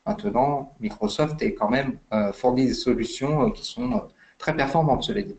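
A man's voice: medium pitch, very animated, moderate pace, creaky, a little mellow, rough recording. A man giving a webinar talk as invited expert, hesitant, with many fillers and restarts. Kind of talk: webinar explanation.

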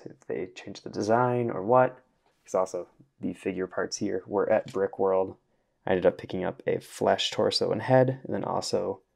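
Low gravelly-voiced man reviewing toys. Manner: relaxed, conversational, frequent "you know". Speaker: toy review vlogger. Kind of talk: casual product review.

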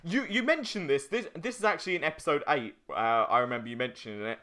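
A man's voice: fairly high pitch, animated, quick pace, pushed and bright. A young man doing casual let's play commentary with joking, sweary banter.

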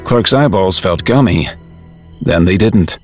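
A man's voice: low voice, animated, a little slow, soft background music. A man reading scripted narration for a dramatized audiobook, as storyteller.

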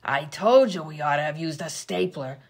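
A woman playing a gruff, bossy 1920s vaudeville comedienne, slangy and sarcastic, in an old-time radio comedy sketch. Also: deep voice, animated, moderate pace, exaggerated voice. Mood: exasperated. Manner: brash, slangy, sarcastic insults.

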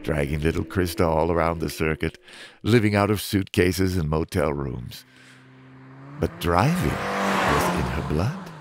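A man's voice: deep voice, sing-song, full character voice. A man as a brooding, weary driver narrating. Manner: slow, brooding storyteller narration. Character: weary guilt-ridden stunt driver father. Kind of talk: narrated motion comic. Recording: over loud music score.

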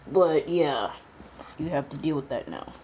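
A woman's voice: deep voice, expressive, slow, slight background noise. A woman commentating a video game in a casual, rambling, self-mocking way, her voice worn as if she is losing it. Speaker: gameplay commentator. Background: game music and sounds.

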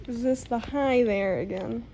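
A woman's voice: on the high side, a little slow, dark tone, a little hiss or hum, rough recording. A young woman chatting casually at home, picked up by a handheld phone in a living room. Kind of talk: casual chat. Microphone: handheld phone camera.

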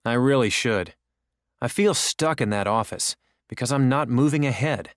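A man's voice: mid-range voice, normal speed, no echo, studio quality, close to an everyday voice. An actor playing an ordinary man making small talk in a language-course dialogue. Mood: discontented.

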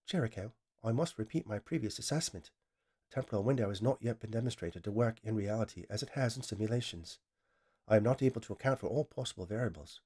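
Guy doing a deadpan female ai helper, flat and precise, bit higher than his low mellow voice. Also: fast, husky. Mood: dispassionate. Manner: flat, precise, matter-of-fact delivery. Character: female AI lab assistant, calm and dispassionate.

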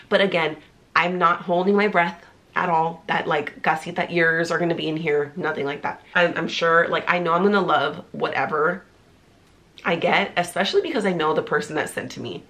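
A woman in her thirties talks to camera, bubbly and full of "like" fillers. She is congested from a cold, so her voice sounds stuffy and nasal. Her pitch sits a little low for a woman. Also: fairly bright tone. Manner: bubbly, talkative, lots of "like" fillers. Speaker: vlogger. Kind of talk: casual chatty vlog. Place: small indoor room, seated before a pink wall.

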